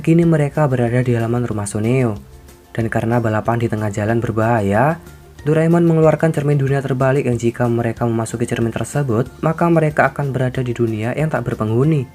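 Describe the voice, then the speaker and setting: A man narrating a cartoon story recap in a relaxed, chatty way, with informal asides to the viewers. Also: medium pitch. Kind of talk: casual scripted story recap.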